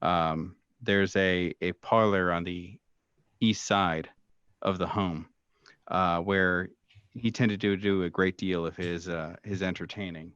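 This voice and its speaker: A man working as game master, improvising descriptive narration for a horror tabletop game in a halting, hesitant way. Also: low-pitched, steady tone, clean tone, dry recording, highs cut off. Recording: music playing behind the voice. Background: soft background music.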